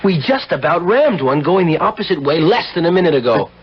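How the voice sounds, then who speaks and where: An actor playing a troubled, defensive young man in a 1970s radio drama, excitable and insistent. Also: fairly high pitch, brisk, husky. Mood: panicked. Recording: dull vintage radio broadcast with loud music.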